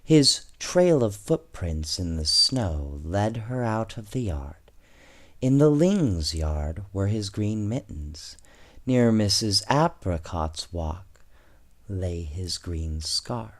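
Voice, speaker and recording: A man reading children's storybooks aloud in an expressive, measured way, with casual asides to his young listeners. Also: low voice. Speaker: bedtime story reader, host.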